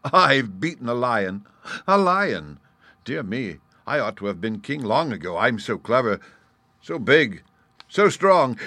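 A man's voice: lowish voice, expressive, moderate pace, a bit bright, dry recording. A man playing a cocky, boastful storybook gnat. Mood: gloating. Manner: taunting, self-important. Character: tiny cocky boastful male gnat, defiant and disdainful. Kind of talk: children's storybook character voice.

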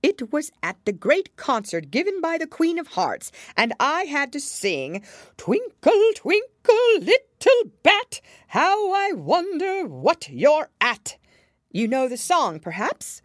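A woman voicing a mad male Hatter in a storybook, argumentative and mournful, with a loud theatrical delivery. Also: high voice, big pitch swings, unhurried, hardly any pauses, no room sound, clean studio sound, voice pushed up, full character voice. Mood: wistful, theatrical.

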